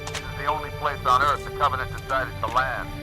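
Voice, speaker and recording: A man playing a stern fleet commander in a video game, giving orders in a measured, formal way. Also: mid-range voice, fairly even intonation, music in the background, natural voice. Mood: stern, urgent. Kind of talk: video game cutscene acting.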